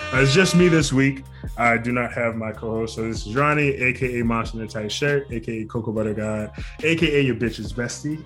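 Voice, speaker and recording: A man's voice: mid-range voice, a bit bright. A man hosting a casual podcast, swearing freely and laughing often. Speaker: podcast host. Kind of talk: casual chat.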